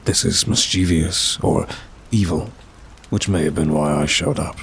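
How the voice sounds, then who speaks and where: A man playing a weary, sardonic demon in an ASMR roleplay, with a dry, haughty delivery and drawn-out sighs. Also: low-pitched, vocal fry, slightly rough, heavily acted. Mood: matter-of-fact, wry. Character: weary, sardonic male demon, aloof bureaucrat of damnation.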